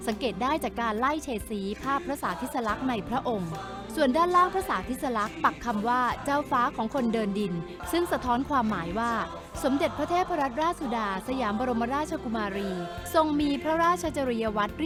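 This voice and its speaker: A woman reading scripted promotional narration as an entertainment news presenter. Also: a little high.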